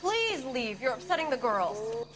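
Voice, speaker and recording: Angry hurt wife, really high voice, swoops around, game drama. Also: female voice, big pitch swings, a little slow, heavy background noise. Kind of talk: dramatic video game acting.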